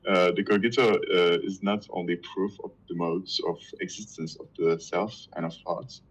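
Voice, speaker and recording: A man whose first language is Turkish, reading a dense philosophy text aloud to a reading group, halting and stumbling over hard words. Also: low-pitched, steady tone, muffled recording.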